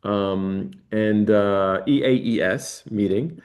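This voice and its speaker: A man's voice: on the low side, slow pace, a little mellow. A man hosting a podcast interview, asking long, rambling questions that keep interrupting themselves. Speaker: podcast host. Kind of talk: interview conversation.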